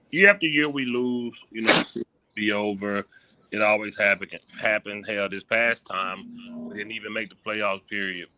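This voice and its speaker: A low-voiced man hosts a live stream, his tone strikingly bright and forceful. He puts casual interview questions to his guest in a loose, informal way. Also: no echo, poor audio.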